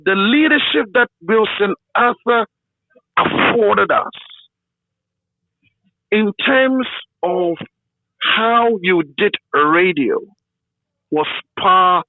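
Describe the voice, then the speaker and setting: A Ghanaian-accented man who works in radio, telling stories in an interview in an emphatic, animated, conversational way. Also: high voice, very slow, pauses often, dry recording, narrow, tinny audio. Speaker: radio broadcaster being interviewed.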